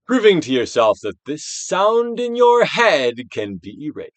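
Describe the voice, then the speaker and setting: Guy doing a theatrical audiobook read of self-help stuff, super animated pitch, big pauses, goes hushed then loud, dry recording. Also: no echo. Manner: theatrical, emphatic, swinging from hushed near-whispers to loud projection. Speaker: audiobook reader, actor. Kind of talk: dramatic reading of a self-help book.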